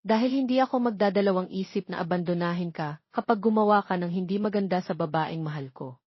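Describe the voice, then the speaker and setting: Woman as a defensive young boyfriend, husky. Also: lowish voice, brisk, no room sound, muffled recording. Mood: cold, threatening. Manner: cold, defensive, threatening. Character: defensive, cold young man torn between two women.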